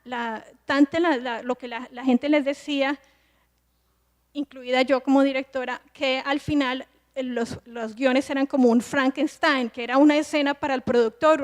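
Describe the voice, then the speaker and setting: A woman's voice: high-pitched, fairly even intonation, normal speed. A woman in her forties, a film director and screenwriting teacher, explaining things on a festival panel, thinking aloud and restarting often. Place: large festival hall. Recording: long reverb in a large hall.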